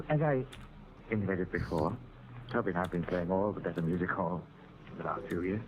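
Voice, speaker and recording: A man's voice: sits low, moderate pace, close to an everyday voice. A man playing a formal, aggrieved ventriloquist in mannered old-time radio drama style. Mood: weary, confiding. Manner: proper, mannered, indignant. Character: aggrieved, formal male British ventriloquist recounting his troubles. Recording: noisy, tinny old radio recording.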